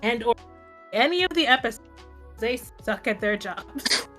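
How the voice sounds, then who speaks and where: A woman's voice: fairly high pitch, expressive, moderate pace, over music. A woman hosting a casual fan podcast, speaking informally and a little hesitantly, often trailing off. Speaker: podcast host.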